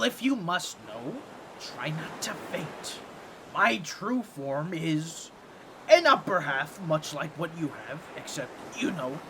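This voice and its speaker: A man's voice: a little high, creaky, pushed and bright, slight background noise, heavily acted. A man voicing a boastful, scheming octopus merman with a grating, nasal, squawky voice and a pompous, awkward manner in a comedic audio roleplay. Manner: theatrical, pompous, fumbling with awkward asides.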